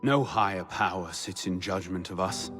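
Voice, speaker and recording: An actor playing a reflective, world-weary assassin, delivering a solemn, deliberate closing monologue in a video game. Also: male voice, low voice, close to an everyday voice. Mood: solemn, rueful. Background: music.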